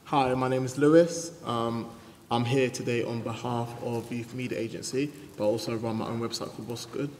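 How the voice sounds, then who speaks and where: A man's voice: medium pitch, fairly even intonation, normal speed, a little mellow. A man in his twenties speaking on a youth panel, upbeat with frequent ums. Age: 20s. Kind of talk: live panel discussion. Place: conference room. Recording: hall reverb with faint noise.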